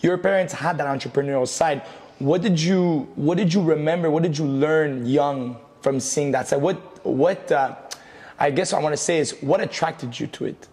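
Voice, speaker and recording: A man's voice: fairly high pitch, moderate pace, a little mellow. A man hosting a studio interview, asking questions casually and rephrasing them as he goes. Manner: conversational, rephrases his questions. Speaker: host. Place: studio set with plant wall and neon sign.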